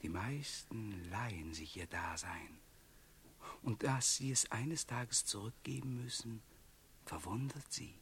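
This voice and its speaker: An actor playing a calm, enigmatic wandering companion in a German radio play, speaking in a measured, cryptic, hushed way. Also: male voice, on the low side, a little hiss or hum. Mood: gentle, cryptic.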